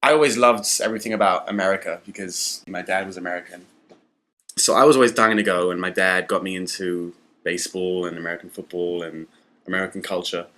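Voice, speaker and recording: A man's voice: low-pitched, fairly even intonation, a little fast, bright, forceful tone. A man in his twenties from Belgium, telling his life story in a casual, reflective way in an interview. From Belgium, English family.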